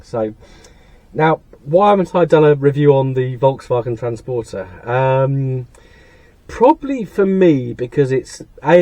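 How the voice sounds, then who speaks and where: A British man with a husky, croaky voice from laryngitis, rambling low-key to camera from the seat of his parked van. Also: warm tone. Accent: British English. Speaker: car channel host. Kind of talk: casual rambling chat to camera. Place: parked van cab, seated.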